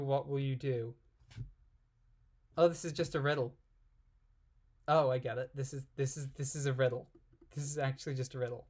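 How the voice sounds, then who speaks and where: Guy, voice a bit high, reading out loud n commenting casually, giggly and irreverent, kinda confused. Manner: irreverent, giggly, often puzzled asides. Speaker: amateur reader and commentator.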